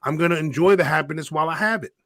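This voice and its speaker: A man's voice: fairly high pitch, very fast, a bit bright. A man in his forties co-hosting a casual sports debate, arguing his point and repeating himself to drive it home. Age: 40s. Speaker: podcast co-host.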